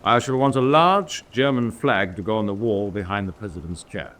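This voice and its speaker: An actor playing an authoritative senior German naval officer in a radio drama, with a formal, commanding, measured delivery. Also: male voice, on the low side, fairly bright tone, lightly acted. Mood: firm authority.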